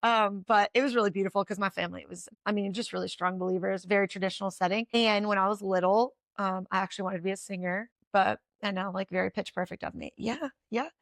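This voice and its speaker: A woman in her thirties from Connecticut with a New England accent and a raspy voice, talking quickly as a guest in a casual interview. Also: mid-range voice, steady tone, a bit bright, no room sound. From Connecticut, USA. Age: 30s. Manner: fast-talking, says "like" often. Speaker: podcast guest.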